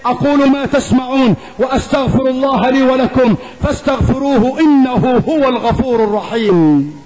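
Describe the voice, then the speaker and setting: A male preacher with an Egyptian accent delivers an Islamic sermon at a strikingly high pitch. His delivery is emphatic and rhetorical, built on repeated phrases and pointed questions. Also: moderate pace, over a noisy crowd, poor audio. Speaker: preacher giving a Friday sermon.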